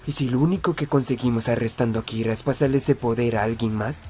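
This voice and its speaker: A man's voice: medium pitch, fast, warm tone, close to an everyday voice. A man with a Latin American Spanish accent playing a guarded young murder suspect in an amateur film dub, defensive and challenging. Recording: music under the dialogue. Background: background music.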